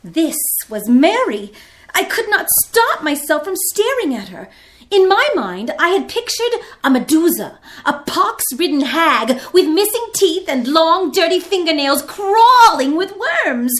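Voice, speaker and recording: A woman's voice: very high voice, very animated, measured pace, a bit bright, heavily acted. A woman playing an earnest sixteen-year-old girl who tells her diary as a vivid, theatrical radio drama narration. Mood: fascinated.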